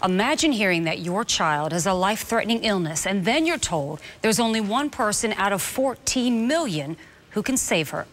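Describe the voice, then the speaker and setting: A woman's voice: medium pitch, animated, moderate pace, slight background noise. A woman news reporter reading scripted narration for a television feature.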